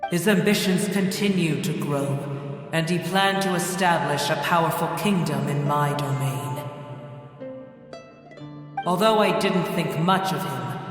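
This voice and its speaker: Ancient proud dragon, game voice, slow and grave, booming hall echo. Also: male voice, fairly bright tone. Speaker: game character. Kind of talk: game voice acting. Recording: echoey hall reverb.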